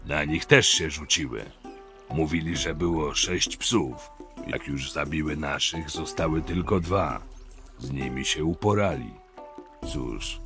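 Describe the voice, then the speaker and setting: Man playing a very deep, gruff guard captain. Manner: blunt, swearing, weary. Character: gruff, exasperated male guard captain in a fantasy prison colony. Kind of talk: fan audio drama acting.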